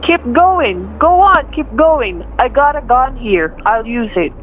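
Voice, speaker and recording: A woman's voice: a little high, lively intonation, slow, narrow, tinny audio, slight background noise. Woman acting as a menacing young male gunman, thin radio sound. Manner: threatening, commanding. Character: menacing young male killer hijacking a car at gunpoint.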